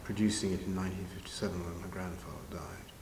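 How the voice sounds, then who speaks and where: A man's voice: low voice, level tone, quick pace. An older man reminiscing unscripted as an interviewee. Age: 50s or older. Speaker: interviewee, long-time pantomime band member. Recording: echoey hall, long reverb, faint noise.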